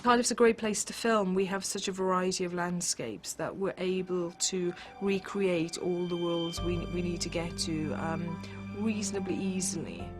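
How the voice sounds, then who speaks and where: A woman's voice: on the low side, steady tone, normal speed, few pauses, loud music behind the voice. A woman speaking upbeat in an interview.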